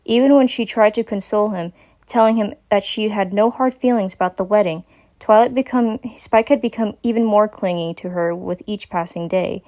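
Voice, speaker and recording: A woman's voice: mid-range voice, moderate pace, warm tone. A woman giving a dramatic reading of a scripted story as its narrator.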